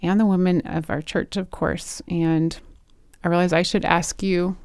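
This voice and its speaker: A woman hosting a casual interview podcast, encouraging and quick to laugh. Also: lowish voice, fairly even intonation, clear, resonant tone, no echo, clean studio sound. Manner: warm, encouraging, laughs often. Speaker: podcast host.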